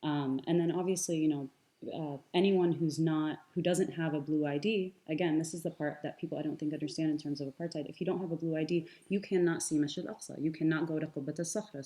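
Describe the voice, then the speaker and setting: A woman's voice: low-pitched, steady tone, brisk, mellow. A young woman from the United States, speaking as a guest in an earnest, thoughtful panel conversation, with frequent fillers and restarts.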